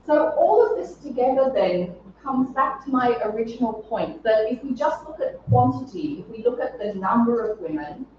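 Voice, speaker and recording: Middle-aged woman academic, slightly scratchy voice, steady lecture delivery; distant mic, muffled sound. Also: fairly high pitch, moderate pace, few pauses. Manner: clear explanatory delivery with occasional ums and throat clearing. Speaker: psychology professor giving a conference talk. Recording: distant, echoey, dull-sounding room.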